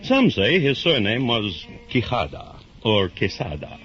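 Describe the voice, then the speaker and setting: A man narrating a story in a measured, theatrical 1950s radio drama style. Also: on the low side, huge pitch swings, a bit bright, soft background music. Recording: dull vintage radio recording.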